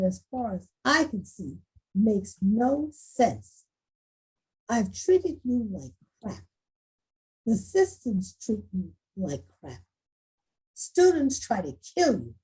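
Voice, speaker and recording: A woman playing a frustrated, bewildered school principal with halting delivery in a screenplay read-through. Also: a little high, mellow, heavily acted, rough recording. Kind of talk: screenplay table read acting. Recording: husky-sounding remote video call.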